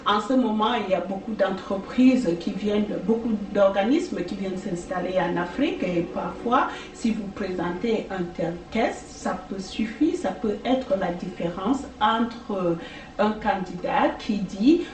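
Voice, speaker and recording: A French-speaking woman tutoring for language exams in a casual video, talking slowly with many hesitations and fillers. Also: medium pitch. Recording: echoey room, distant mic, faint noise.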